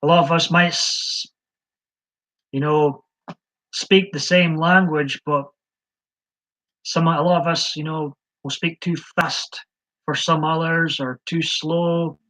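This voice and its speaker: A man's voice: a little high, steady tone, slow, pauses often, fairly bright tone. A man from Scotland hosting a live stream, chatting in a rambling, hesitant way with many ums. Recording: slightly dull lo-fi stream audio.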